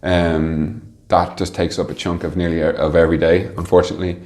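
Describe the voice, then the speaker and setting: Male interview guest, low register, conversational delivery with halting, think-as-you-go phrasing. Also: flat delivery, a little mellow. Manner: hesitant, halting, thinking aloud. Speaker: interview guest, team manager.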